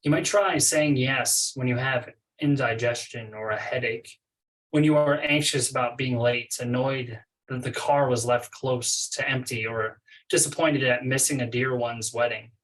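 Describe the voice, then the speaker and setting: A man's voice, medium in pitch, sits a little away from the microphone. He leads a guided meditation, giving scripted instructions in measured phrases with space between them. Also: steady tone, fairly bright tone, far from the mic, low-quality recording.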